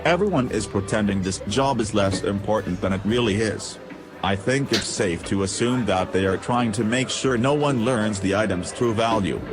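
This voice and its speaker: A man's voice: lowish voice, normal speed, over music, close to an everyday voice. A man acting a loyal, analytical droid in an audio drama, speaking in a precise, matter-of-fact way. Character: loyal, analytical male-voiced tactical droid companion.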